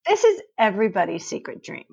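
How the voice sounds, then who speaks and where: A woman's voice: mid-range voice, big pitch swings, quick pace, gravelly, a little mellow, slightly rough, muffled recording. A woman podcast host chatting casually with a guest, laughing and chiming in. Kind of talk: casual interview chat.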